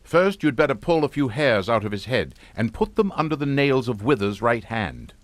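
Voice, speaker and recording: A man's voice: mid-range voice, lively intonation, normal speed, dry recording, lightly acted. Man acting coldly commanding patriarch, swooping intonation. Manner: clipped, authoritative, matter-of-fact. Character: coldly commanding English father, calm, menacing, middle-aged patriarch. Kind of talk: suspense radio drama acting.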